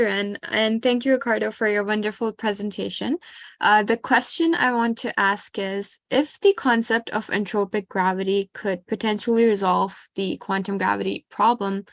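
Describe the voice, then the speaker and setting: A young woman co-host at an ordinary pitch, politely reading out a prepared question. Her voice sounds dry and close, with no room around it. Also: no echo. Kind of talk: interview questions.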